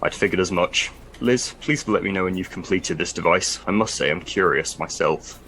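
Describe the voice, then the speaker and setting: An actor playing a stern, dry-witted military commander in an audio drama, speaking with clipped authority. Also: male voice, low-pitched, normal speed, a bit bright, slight background noise. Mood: skeptical.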